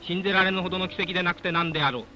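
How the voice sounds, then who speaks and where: A man's voice: high voice, fairly even intonation, very fast, muffled recording. A man narrating a 1940s wartime newsreel in a formal, declamatory and solemn dramatic style, on an old film soundtrack. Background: loud music.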